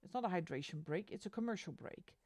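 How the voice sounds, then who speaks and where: A woman's voice: fairly low pitch, animated, quick pace, a little mellow, no room sound, studio quality. A woman streamer chatting casually while she colors, given to exasperated rants, speaking into a desk microphone. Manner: ranting, exasperated asides; sings along to music between chat.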